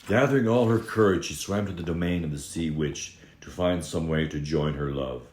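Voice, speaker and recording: A man reading a fairy tale aloud as a dramatic storyteller, expressive, with drawn-out emphasis on key moments. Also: sits low, a little hiss or hum. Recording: echoey room.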